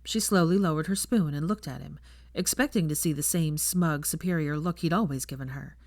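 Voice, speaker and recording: A woman's voice: low-pitched, a little fast, a little mellow, no echo, studio quality. A woman reading a story aloud as narrator, in a scripted storytelling style. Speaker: fan fiction narrator.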